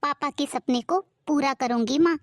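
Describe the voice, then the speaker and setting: A woman voicing an earnest, determined young woman in an animated family drama. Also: high voice, moderate pace, no room sound, natural voice. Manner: earnest, pleading, eager. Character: determined, hopeful young woman, recent graduate.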